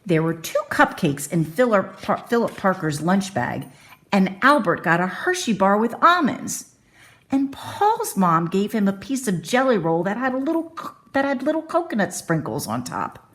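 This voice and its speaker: A woman's voice: mid-range voice, big pitch swings, moderate pace, a bit bright. A woman reading a children's bedtime story aloud expressively, stretching key phrases with dramatic emphasis.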